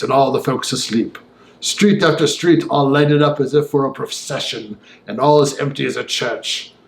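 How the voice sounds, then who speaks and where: A man reading as a gentleman who recounts a disturbing tale in vivid, old-fashioned, emphatic speech. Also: medium pitch, gravelly, roomy sound, a little hiss or hum, natural voice. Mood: musing. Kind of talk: audiobook dialogue monologue.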